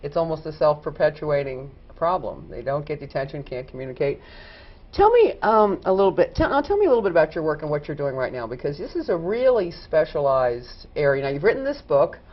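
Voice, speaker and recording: A woman's voice: sits low, big pitch swings, a little fast. Casting: woman talk show host, chatty and meandering, muffled lo-fi recording. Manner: chatty, rambling questions. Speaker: interview host. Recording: dull lo-fi studio recording.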